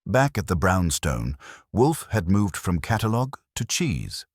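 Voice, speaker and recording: A man's voice: low voice, very animated, unhurried, husky, no room sound, clean studio sound. A man reading scripted first-person detective fiction with wry, clipped storytelling. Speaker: audiobook narrator in the part of a wry detective's assistant. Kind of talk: scripted first-person hardboiled mystery narration.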